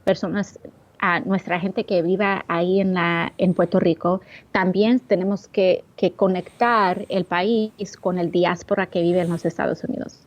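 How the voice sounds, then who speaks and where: A young woman from the Bronx whose first language is English, answering interview questions in halting, searching speech with frequent restarts. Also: on the low side, steady tone. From the Bronx, New York. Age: young adult. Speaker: interview guest.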